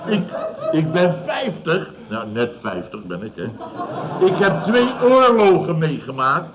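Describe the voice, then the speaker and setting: A self-important building boss in his fifties, full of mockery and indignant repetition. His voice sits on the high side for a man. It is an old radio comedy recording, dull and faintly noisy. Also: huge pitch swings, a little slow. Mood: indignant storytelling. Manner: blustery, mocking, repeats himself, chuckles at own remarks. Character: blustering, scornful fifty-year-old building contractor boss. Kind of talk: Dutch radio comedy acting around 1970.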